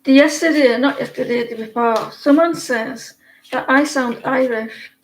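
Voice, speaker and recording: A woman's voice: high-pitched, unhurried, slight background noise, poor audio. A woman in her forties from northeast England, with a northeast English accent, giving a casual, rambling tarot reading full of fillers and restarts. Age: 40s. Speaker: tarot reader.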